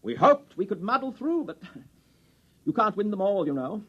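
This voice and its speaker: A stuffy, murderously polite alien elder from a vintage radio comedy. The voice is high and husky and swings widely in pitch. The old recording sounds dull. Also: male voice, sing-song, normal speed, exaggerated voice. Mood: outraged, resigned. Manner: stuffy British gentleman phrasing, pompous. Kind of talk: 1950s radio comedy acting.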